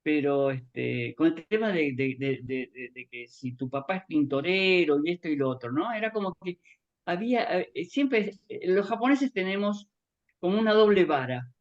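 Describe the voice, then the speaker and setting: A man's voice: on the high side, lively intonation, normal speed. Middle-aged Argentine male guest, conversational storyteller who meanders, dull lo-fi call audio. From born in Japan, grew up in Argentina. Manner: rambling, conversational, acts out remembered dialogue. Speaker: guest author giving a testimony. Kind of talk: personal reminiscence in an online talk.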